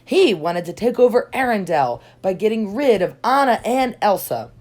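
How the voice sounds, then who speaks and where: A woman reading a children's storybook aloud in expressive narration, with dramatic emphasis on key words. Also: medium pitch, moderate pace. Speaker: storybook reader. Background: soft music.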